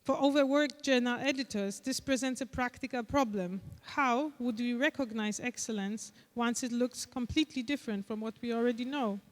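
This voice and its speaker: Polish-accented woman lecturing in a hall. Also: on the high side. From Poland. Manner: measured, deliberate reading with occasional restarts. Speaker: conference speaker. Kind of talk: reading a prepared lecture. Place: large conference hall.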